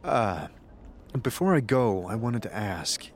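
A man's voice: on the low side, big pitch swings, slow, a little hiss or hum. A man playing a weary, impatient adventurer in a fantasy audio drama, with a sighing, grumbling manner. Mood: exasperated.

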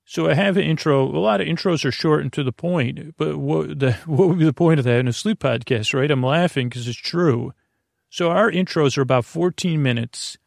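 A man with a gravelly, creaky voice talks in a loose, meandering way, tripping over words as he goes. He sounds easygoing and companionable, like a friend chatting on speakerphone. The recording is close and dry. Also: mid-range voice, darkish tone, no room sound. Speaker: sleep podcast host. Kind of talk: casual rambling monologue.

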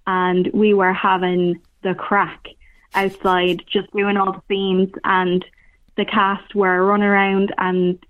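A woman's voice: medium pitch, completely flat delivery, slow pace, no room sound. A woman with an Irish accent from Cork, chatting informally and thinking aloud as a theatre director in a casual interview. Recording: very phone-like, narrow-band sound.

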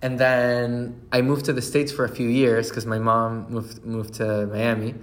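Guest di podcast: uomo con cileno, racconta ridendo spesso, frasi ricominciate, tono medio. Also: male voice, medium pitch, unhurried. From Chile. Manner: animated, laughs often, many restarts. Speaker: brand founder, guest. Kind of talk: casual interview storytelling.

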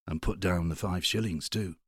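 Man acting a brisk, cheery gentleman, low-voiced. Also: husky, dry recording, clean studio sound.

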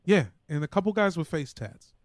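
Higher-pitched American man, casual sports banter. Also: animated, a little fast, no echo, clean studio sound. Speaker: podcast co-host.

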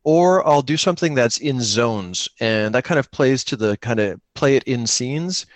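A man with a mid-pitched voice talks casually in a dry, close recording. He explains things in an animated, conversational way, doubling up words and phrases to drive his points home. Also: normal speed, dry recording. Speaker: podcaster and solo tabletop role-player. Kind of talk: casual chat about tabletop gaming.

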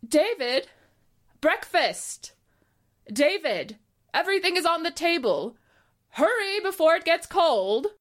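A woman's voice: very high voice, big pitch swings, slow pace, long pauses, no echo, studio quality, exaggerated voice. A woman acting a chatty, coy small-town 1940s housewife who loves gossip, in a radio drama. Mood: calling out.

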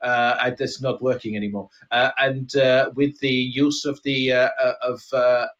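A man voicing a retired Russian KGB colonel in improvised comedy, with a mock Russian accent that sometimes slips into Scottish, halting and nostalgic in broken English. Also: mid-range voice, flat delivery, fairly bright tone, muffled recording, voice pushed down, natural voice. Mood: wistful, earnest. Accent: mock Russian, sometimes slipping into Scottish. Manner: halting, rambling, broken English with dropped articles. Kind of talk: improvised comic role-play.

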